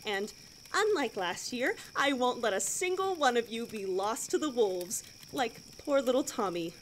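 A woman's voice: fairly high pitch, expressive, a little slow, a little hiss or hum, poor audio. An actress playing a relentlessly cheery, blithely macabre female scout leader in an audio drama, chirpy and instructing. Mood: mock solemn.

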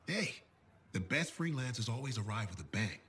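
A man's voice: medium pitch, big pitch swings, normal speed, bright, forceful tone, husky, exaggerated voice. A man voicing a brash, friendly armor smith in a video game, talking like a showy salesman. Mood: upbeat, boastful. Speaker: merchant at a forge.

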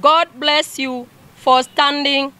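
A woman's voice: sits high, level tone, bright, forceful tone. A middle-aged woman with a Kenyan accent formally reading a prepared press statement outdoors. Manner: formal, deliberate. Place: outdoors among a group of people. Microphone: cluster of handheld press microphones.

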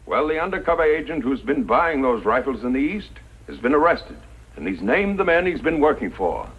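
A man playing a grave army commander in a 1950s radio western, speaking in a deliberate, formal way. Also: medium pitch, very animated, breathy edge. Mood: grave, commanding. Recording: dull, muffled 1950s radio recording.

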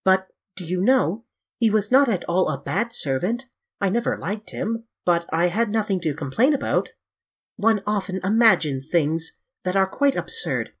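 A man voicing a flippant, petulant young English gentleman with an airy, affected, dismissive manner in an audiobook story. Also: sits high, lively intonation, normal speed, breathy edge, voice pushed up.

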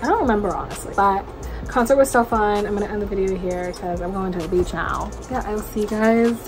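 A woman's voice: medium pitch, quick pace, music in the background. A young adult woman chatting to camera from a small bedroom, telling an upbeat story and filling it with "like" and "honestly". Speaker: vlogger. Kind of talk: casual story-time chat to camera.

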